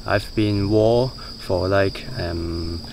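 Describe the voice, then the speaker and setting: A young man with a Hong Kong accent speaks casually in a low, creaky voice. He sounds slightly away from the mic. Clear outdoor noise with insects sits behind him. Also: steady tone, drawn-out words, distant mic. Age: 20s. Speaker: festival attendee interviewee. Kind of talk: casual interview. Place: outdoors against dark foliage.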